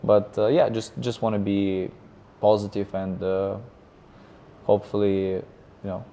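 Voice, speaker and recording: A man in his thirties, a guest in an interview, speaking casually and haltingly with many fillers and restarts. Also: fairly low pitch, little pitch movement, dark tone, slight background noise. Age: 30s. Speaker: guest, freelancer.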